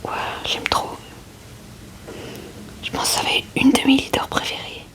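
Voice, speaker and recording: Whispering woman doing soft ASMR. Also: a little slow. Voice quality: breathy, unvoiced whisper. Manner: gentle, informal, murmuring short remarks to viewers. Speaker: ASMR video creator. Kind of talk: whispered ASMR card-opening commentary. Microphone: close ASMR microphone.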